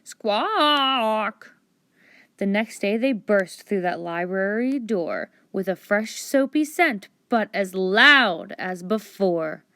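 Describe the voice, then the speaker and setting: A woman reading a storybook aloud with expressive children's narration. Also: medium pitch, slow, hardly any pauses, no room sound. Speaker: storybook reader.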